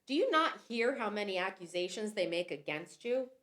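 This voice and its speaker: A woman's voice: mid-range voice, big pitch swings, normal speed, a bit bright, pitched above the performer's own voice. A woman reading the part of a Roman governor, a puzzled man questioning a prisoner with plain emphasis.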